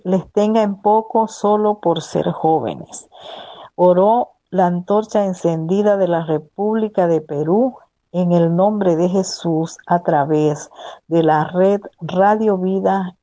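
A woman's voice: medium pitch, mellow, no room sound. A woman from Peru praying solemnly on a radio network and citing scripture verses. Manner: slow, solemn, cites scripture. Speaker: prayer contributor. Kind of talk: radio prayer.

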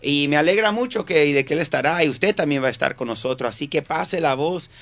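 A male radio host from Venezuela who leads the chat with an upbeat, lively manner and laughs often. His voice sits a little higher than most men's. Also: fast. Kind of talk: casual chat.

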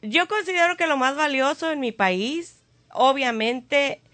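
A woman from Mexico co-hosts morning radio with a high, lively voice that swings widely in pitch. She teases her partner playfully. The sound is dry and close. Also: measured pace, dry recording. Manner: playful, teasing, laughs often. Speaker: radio co-host. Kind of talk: casual morning radio chat.

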